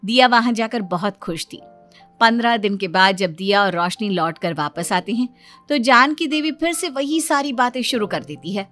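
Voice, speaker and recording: High-voiced woman narrating a story. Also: lively intonation, moderate pace, fairly bright tone, soft background music. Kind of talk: scripted Hindi story narration.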